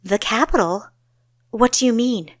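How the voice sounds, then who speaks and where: A woman's voice: a little high, measured pace, no echo, clean studio sound, voice pushed up, natural voice. Woman playing carefree young sister, lifted eager voice. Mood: surprised. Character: carefree, impulsive young sister, quick to recover.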